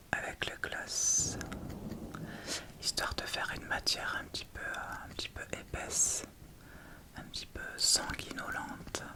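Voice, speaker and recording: A woman speaks in a soft, breathy whisper, casually chatting and thinking aloud through an ASMR tutorial close to the mic. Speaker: ASMR video host.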